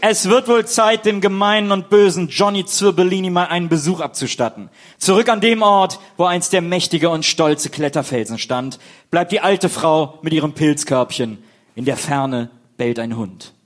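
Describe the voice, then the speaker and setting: A man's voice: on the high side, normal speed. A man narrating a comedic audio play in a theatrical storytelling style.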